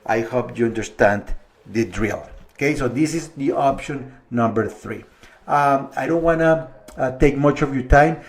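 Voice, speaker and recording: A middle-aged man from Colombia, a mortgage broker talking viewers through figures step by step in a relaxed, conversational way. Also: mid-range voice, measured pace. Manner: conversational, walks through numbers step by step, slight restarts. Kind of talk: explanatory video monologue to viewers.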